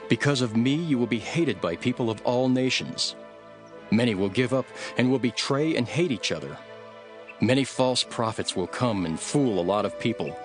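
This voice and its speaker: A man with a fairly low voice delivers a scripted scripture narration. His reading is solemn and earnest, with measured, deliberate phrasing. Music plays clearly behind him throughout. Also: a bit bright. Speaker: Bible narrator. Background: background music.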